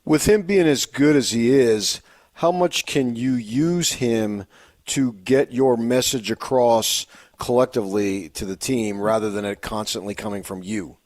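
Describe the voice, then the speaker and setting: A man with a mid-pitched voice puts questions as a sports radio host. The recording is dry, with little room sound. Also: unhurried, a little mellow, no echo.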